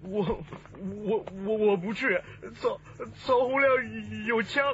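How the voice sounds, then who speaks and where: Scared young informant, high voice, nervous stammer, radio drama, muffled sound, music behind. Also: male voice, takes it slowly. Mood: frightened, stammering. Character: timid, frightened, stammering young male police informant, unmarried. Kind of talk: Chinese radio crime drama acting. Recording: muffled band-limited audio with music behind.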